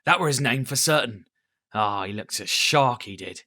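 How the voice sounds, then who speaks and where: Brief: a man doing a cunning old one-legged pirate, loud and bright, salty sailor talk. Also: medium pitch, expressive, moderate pace, no room sound, clean studio sound. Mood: excited.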